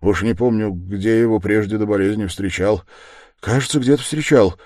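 A man's voice: on the low side, normal speed, a little mellow, no room sound, studio quality, pitched above the performer's own voice, close to an everyday voice. A man reading an audiobook as an irritable, erratic young man who talks in abrupt, insistent exclamations. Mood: feverishly animated.

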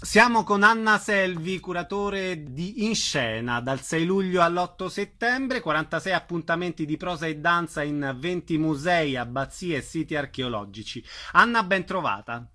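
A man's voice: on the high side, animated, moderate pace, hardly any pauses, bright, forceful tone, faint music behind. A man hosting a radio arts interview, giving short prompts and tidy announcements. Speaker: radio host.

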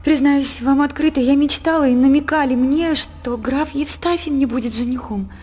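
Actress as high-voiced earnest young princess. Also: female voice, moderate pace, darkish tone, lightly acted. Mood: fearful, pleading. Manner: heartfelt, declamatory, formal verse-like phrasing. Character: young princess daughter, devout, dreamy, earnest yet proud. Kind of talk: theatrical stage acting for radio drama.

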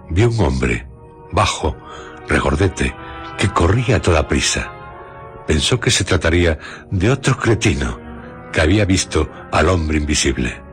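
A man with a Castilian Spanish accent narrating a radio drama in measured, deliberate phrases. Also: rumbling low. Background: music.

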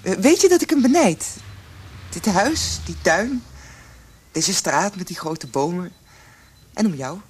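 A nervous, lonely visitor in a radio drama. Her voice is on the low side and hesitant, stumbling over words, with faint ambient noise behind her. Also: lively intonation, a little slow, pauses often, a little mellow. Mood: wistful. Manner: hesitant, stammering. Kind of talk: Dutch radio drama acting.

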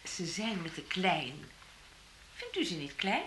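Radio comedy: prim, polite guest, Netherlands Dutch accent, unhurried, bright, roomy and faintly noisy recording. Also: female voice, fairly low pitch, wildly swooping pitch, full character voice. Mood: coyly polite. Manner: polite, hesitant, drawn-out. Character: prim, genteel lady guest with a sweet tooth. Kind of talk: comic radio play acting.

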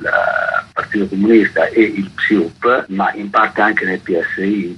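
A man's voice: fairly low pitch, muffled recording, a little hiss or hum. A man explaining as an author in an interview, precise and full of qualifications, on a remote connection. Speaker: interviewed author and historical researcher.

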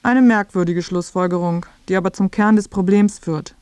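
A woman reading scripted feature narration in a clear, explanatory way. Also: mid-range voice, moderate pace, darkish tone, no room sound.

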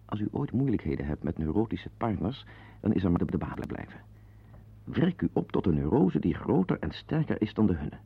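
Radio drama husband: bitter, neurotic, sardonic confider with swooping intonation, muffled lo-fi tape sound. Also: male voice, low-pitched, sing-song, brisk, creaky, breathy edge, full character voice. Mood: irritated, confiding. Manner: digressive, sardonic, prone to outbursts. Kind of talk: radio play monologue and dialogue acting.

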